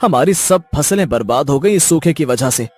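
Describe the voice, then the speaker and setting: A man voicing a distressed, drought-stricken village farmer in an animated story, with a husky voice. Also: fairly high pitch, normal speed, faint music behind. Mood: despairing.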